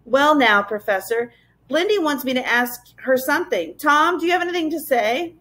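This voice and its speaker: A woman's voice: sits high, expressive, normal speed, a bit bright, pitched above the performer's own voice. A woman voicing a plucky, polite young boy in a children's storybook, asking questions eagerly. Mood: improvised cheer.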